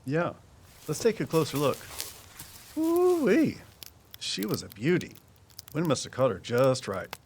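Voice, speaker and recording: An actor playing a guarded, knowledgeable woodsman in an audio drama, explaining things in a measured, folksy way. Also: fairly high pitch, sing-song, darkish tone, slight background noise. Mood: knowing, measured. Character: Walt, guarded, knowledgeable woodsman and conservation volunteer. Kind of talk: full-cast audio drama dialogue.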